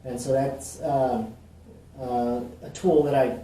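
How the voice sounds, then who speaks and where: Man from Mexico, mellow and loose in his answers, heard from a distance in an echoey room. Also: mid-range voice, takes it slowly, a little hiss or hum. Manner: relaxed, rambling with many fillers and restarts. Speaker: realtor. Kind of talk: casual interview answers. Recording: roomy, echoey, mic at a distance.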